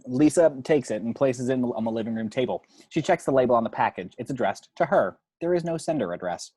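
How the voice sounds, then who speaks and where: A man's voice: mid-range voice, expressive, a little fast, mellow, no room sound. Man narrating screenplay action, dry close sound. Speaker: narrator reading the action description.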